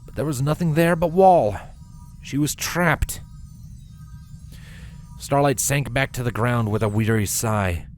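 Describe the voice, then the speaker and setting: A man narrating a scripted story with a dramatic, expressive delivery. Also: mid-range voice, unhurried, long pauses, soft background music.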